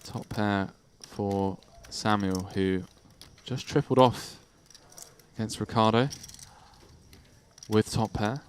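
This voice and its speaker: Low-voiced male poker commentator. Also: steady tone, a little hiss or hum. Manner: brisk, chatty, analytical. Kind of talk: live play-by-play commentary.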